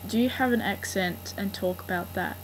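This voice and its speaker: A woman's voice: mid-range voice, normal speed. A woman from Australia with an Australian accent, answering fan questions in a casual, rambling way with frequent ums. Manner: rambling, hesitant, many ums. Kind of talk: casual voice meme monologue. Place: home room. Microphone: built-in laptop mic. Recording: noisy home laptop recording.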